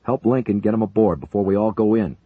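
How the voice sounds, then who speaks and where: A man voicing a gruff male helicopter major who barks orders and shouts over the noise in combat. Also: extremely low pitch, fast, dry recording, narrow, tinny audio. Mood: barking orders. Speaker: commanding pilot.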